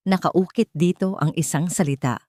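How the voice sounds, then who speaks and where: A woman with a Filipino accent narrating a scripted dramatic story in an expressive, measured way. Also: on the low side, no echo, clean studio sound. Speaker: audiobook narrator.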